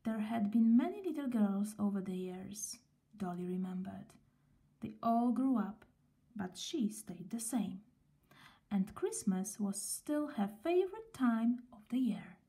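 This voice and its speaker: A woman reading a children's story aloud in a gentle, expressive way, with pauses between phrases. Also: mid-range voice.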